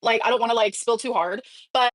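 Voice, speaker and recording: A woman's voice: on the high side, quick pace, no echo, rough recording. A woman chatting casually on a livestream, gossipy and sassy, often breaking off mid-thought to answer viewers.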